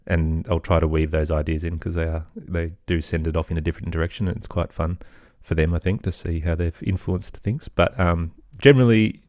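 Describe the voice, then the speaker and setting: A man's voice: low voice, steady tone, a little fast, few pauses, darkish tone, no echo, studio quality. A man with an Australian accent, chatting casually as an interview guest about his work. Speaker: interview guest, children's podcast creator.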